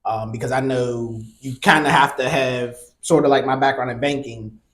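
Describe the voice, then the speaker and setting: A man hosting a podcast in a casual, chatty way, often pausing with "um" and repeating words. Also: medium pitch, reverberant. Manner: relaxed, chatty, many ums and repeated words. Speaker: podcast host.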